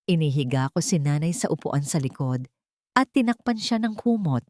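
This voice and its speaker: Woman, low voice, dry close sound, scripted dramatic story narration. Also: lively intonation, normal speed, a little mellow, dry recording.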